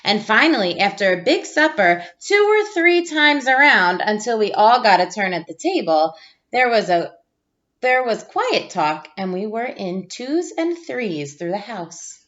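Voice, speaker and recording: A woman's voice: mid-range voice, very animated, a little slow, a bit bright, highs cut off. A woman teacher reading a storybook aloud to pupils, expressively, drawing out phrases for emphasis.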